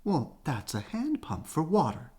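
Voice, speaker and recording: A fed-up mother bear from a picture book, voiced by a man reader. She is dry and sceptical, complaining in a lively, up-and-down line. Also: fairly high pitch, unhurried, a little hiss or hum, voice pushed up. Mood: matter-of-fact.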